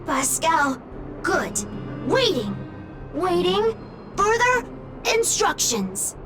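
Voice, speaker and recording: A woman voicing a childlike, simple, obedient little robot in a video game, speaking in halting, broken words. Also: very high-pitched, very animated, heavily acted. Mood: simple, puzzled. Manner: halting, broken word-by-word speech. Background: background music.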